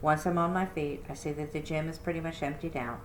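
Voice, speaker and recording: A woman reading a children's novel aloud in an expressive first-person storytelling style, like a librarian. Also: sits low, fairly quick. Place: indoor room, roomy sound. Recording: echoey room with faint noise.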